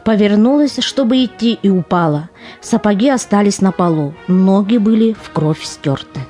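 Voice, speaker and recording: A woman's voice: mid-range voice, moderate pace, warm tone, close to an everyday voice. A woman narrating a war audio play in a descriptive storytelling manner from a script. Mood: narrative. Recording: music under the voice.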